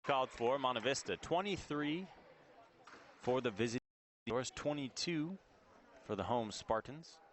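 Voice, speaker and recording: A man's voice: medium pitch, expressive, unhurried, long pauses. A man doing live play-by-play commentary of a volleyball match in clipped phrases that rise on big plays. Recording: noisy gym with crowd behind. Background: crowd noise and ball bounces in a gym.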